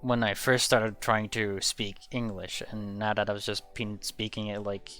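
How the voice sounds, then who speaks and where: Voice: mid-range voice, flat delivery, measured pace, a bit bright, clear, resonant tone, soft background music. A young adult from Belgium, a native Dutch speaker, chatting casually in a podcast and rambling with frequent restarts and swearing.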